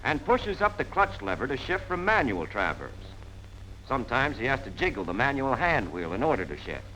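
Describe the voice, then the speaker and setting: Lively American man narrating 1940s training film. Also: medium pitch, normal speed. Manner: plain-spoken, punchy, colloquial instructor delivery. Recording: noisy 1940s film soundtrack.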